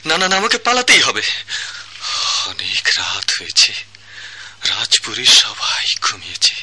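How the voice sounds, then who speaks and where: An actor playing a lovelorn young singer in a palace tale, declaiming poetically with dramatic pauses in a Bangladeshi accent, in old-fashioned radio drama style. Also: male voice, fairly low pitch, huge pitch swings, sharp tone, husky, heavily acted. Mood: anguished, urgent.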